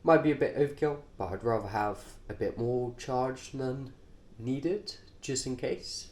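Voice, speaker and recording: A man with a nasal voice, congested from a cold, talking through his cycling kit informally and haltingly, in short offhand phrases. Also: medium pitch, darkish tone, slight background noise. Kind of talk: informal vlog, kit walkthrough.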